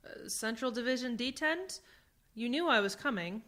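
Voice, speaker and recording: A woman playing an earnest, bewildered exile in a science fiction radio drama, guarded and halting. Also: fairly high pitch, animated, fairly bright tone. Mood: confused, defensive.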